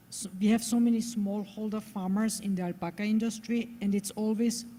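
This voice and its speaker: A woman's voice: medium pitch, dark tone. A woman from Austria, speaking English as an on a forum panel, searching for her words. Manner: thoughtful, searching for words, speaking a second language. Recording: long hall reverb.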